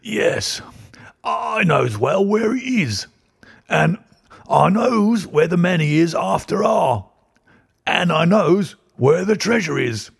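A man reading an audiobook, voicing a petulant, obstinate very old man with a high, quavering, drawling voice. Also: very animated, slow pace, vocal fry, slightly rough, exaggerated voice. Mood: stubborn.

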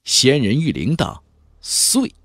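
A man narrating a fantasy audiobook as a dramatic, expressive storyteller. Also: medium pitch, slow, dry recording.

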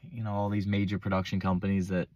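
A young man with a hoarse voice from a cold, rambling casually and unscripted, recorded on a phone camera in his parked car. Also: deep voice, monotone, fast, darkish tone, dry recording, dull audio. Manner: rambling, self-interrupting, casual slang. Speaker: vlogger. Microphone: phone front-facing selfie camera.

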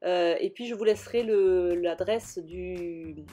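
Mellow-voiced woman, halting voice-over. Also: fairly low pitch. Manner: hesitant, many fillers and restarts. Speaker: scrapbooking vlogger. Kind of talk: casual voice-over narration.

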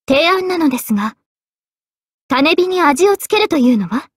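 A woman voicing a young, regal and gentle guardian spirit of a holy sword in an anime game, speaking politely and with measured poise. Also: high voice, very animated, dry recording, clean studio sound. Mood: polite, pleased. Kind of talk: Japanese anime game voice acting. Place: studio. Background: faint background music.

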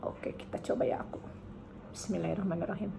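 A woman in her thirties from Indonesia, chatting casually through a food review and humming while she eats, filmed in a bright living room by a camera on the table. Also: fairly low pitch, steady tone, fast, creaky, noisy background. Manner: chatty, savoring, hums while eating. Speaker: food vlogger. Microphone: camera on table in front.

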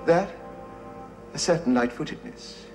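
A dry, ironic English gentleman. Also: fairly high pitch, animated, measured pace, music in the background. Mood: wry. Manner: dry, ironic, precise and courteous. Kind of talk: 1970s TV drama acting.

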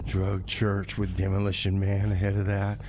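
A man's voice: sits low, monotone, moderate pace, warm tone, slight background noise. A man hosting a community radio music show, talking casually like a DJ with wry, offhand asides. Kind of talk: casual radio DJ talk, announcing songs and local events.

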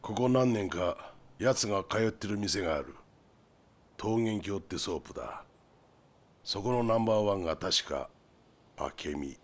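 Knowing middle-aged underworld type, deep voice, rough colloquial delivery, crime game drama. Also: male voice, lively intonation, a little slow, long pauses. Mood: knowing, sly. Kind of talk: Japanese video game cutscene acting.

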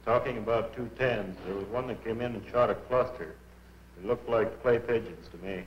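A man's voice: fairly low pitch, normal speed. A man giving an informal, colloquial airman's account in a debriefing. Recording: echoey, dull 1940s film with faint noise.

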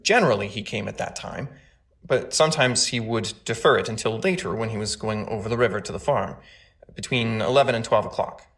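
A man's voice: lowish voice, fairly bright tone. A man playing a courteous older bank treasurer giving careful, formal testimony in a dramatic trial reading. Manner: formal, measured, cautious answers.

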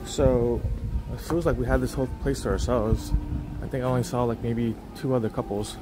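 A man's voice: medium pitch, fairly even intonation, brisk, music in the background, poor audio. A young man walking through a beach resort, talking casually into a phone on a gimbal, rambling with many fillers and restarts. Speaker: travel vlogger. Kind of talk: casual walking tour commentary.

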